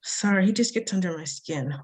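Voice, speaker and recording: A woman's voice: on the low side, a little fast, a little mellow, slightly rough. An actress voicing a brash, hot-tempered young woman with a blunt, sarcastic, snappish delivery in a script table read. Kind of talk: table read acting of a screenplay.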